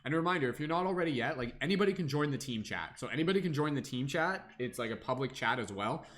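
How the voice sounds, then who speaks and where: A man's voice: mid-range voice, expressive, fast talker, a bit bright. A young man hosting a casual tarot livestream, rambling with many fillers and humming to himself between comments.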